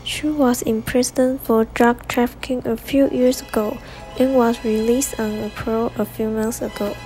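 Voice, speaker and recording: A woman's voice: fairly high pitch, steady tone, normal speed, mellow, soft background music, close to an everyday voice, rough recording. A woman playing a detective's partner reporting findings in an amateur student radio drama. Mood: businesslike.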